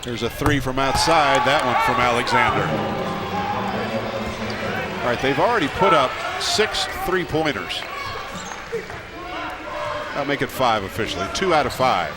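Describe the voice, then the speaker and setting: A man's voice: medium pitch, lively intonation, measured pace, pauses often, over a noisy crowd. A man calling live basketball play-by-play from courtside, speaking in clipped, fragmentary calls. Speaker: play-by-play sports commentator. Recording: loud gym noise, bouncing basketball behind. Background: arena noise and ball bounces.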